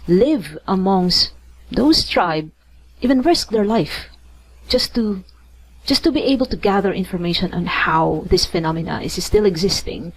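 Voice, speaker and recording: Filipino-accented woman teaching, lowish voice. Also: low-quality recording. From Philippines. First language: not English. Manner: conversational, thinks aloud with many restarts and tag questions. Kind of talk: online lecture.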